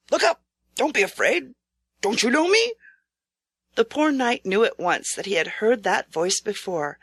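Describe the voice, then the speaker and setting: A woman reading a scripted storybook tale as narrator, in a measured, formal, dramatic manner. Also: mid-range voice, fairly bright tone, no echo. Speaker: audiobook narrator.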